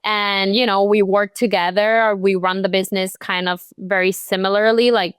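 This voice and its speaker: A woman's voice: medium pitch, level tone, moderate pace, smooth, dry recording, studio quality. A young woman from Colombia, a real estate agent, chatting casually as a guest in an interview, full of "like" and "you know" fillers.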